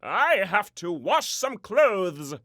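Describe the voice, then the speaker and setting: A man voicing a commanding storybook dad with a loud, emphatic, exclaiming manner. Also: sits high, big pitch swings, slow, no echo, studio quality, voice pushed up, full character voice.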